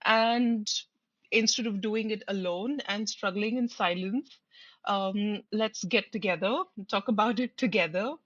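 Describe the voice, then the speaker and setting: A woman in her late thirties from India, co-hosting a casual podcast chat in a calm, measured way. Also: medium pitch, no echo. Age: late 30s. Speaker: podcast co-host. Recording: dry, dull lo-fi mic.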